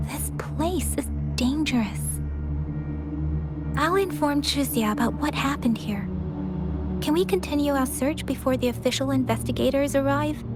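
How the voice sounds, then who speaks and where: An actress playing a gentle, perceptive young female scout in a video game, speaking earnestly and softly. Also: sits high. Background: background music.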